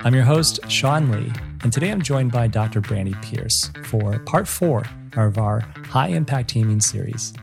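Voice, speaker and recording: Gravelly-voiced male podcast host. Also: mid-range voice, lively intonation, normal speed, few pauses, music in the background. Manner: welcoming, announcing. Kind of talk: scripted show intro.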